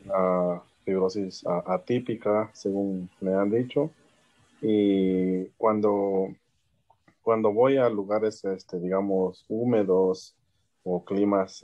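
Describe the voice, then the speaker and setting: A man's voice: fairly low pitch, fairly even intonation, slow, warm tone, dull audio. A man from Guatemala asking a doctor a question on a video call, polite and hesitant, with many fillers.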